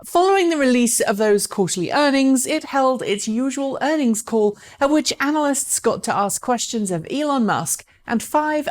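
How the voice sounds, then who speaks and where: A woman presenting electric car news as scripted narration, speaking articulately and directly to viewers. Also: mid-range voice.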